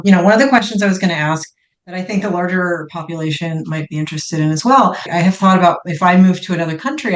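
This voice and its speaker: Guest, woman, chatty, inquisitive; lowish pitch, recorded in an echoey room. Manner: curious, chatty, asks long rambling questions. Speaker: podcast guest. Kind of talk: conversational interview.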